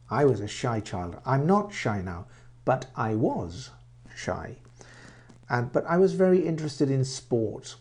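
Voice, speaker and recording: A man in his sixties with a mixed English and Welsh accent, teaching English to learners in slow, simple sentences and often asking them questions. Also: mid-range voice, dark tone. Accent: mix of English and Welsh. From England. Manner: repeats words and asks the listener questions.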